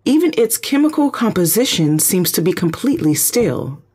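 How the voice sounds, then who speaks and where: Sci-fi radio play: ship computer played by an actor, formal, measured, literal, husky edge. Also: medium pitch, very animated, darkish tone. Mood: analytical, calm. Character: calm, precise spaceship computer intelligence. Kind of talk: audio drama, analytical reporting.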